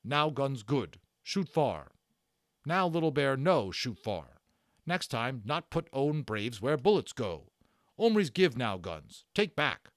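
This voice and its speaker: A man's voice: mid-range voice, big pitch swings, takes it slowly, no echo, studio quality, pitched above the performer's own voice. A man voicing a proud, stern warrior chief for a storybook reading, in clipped broken grammar with weighty, declarative phrases. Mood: gruff, forceful. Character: proud, stern male warrior chief, bitter yet honorable. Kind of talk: dramatic storybook character reading.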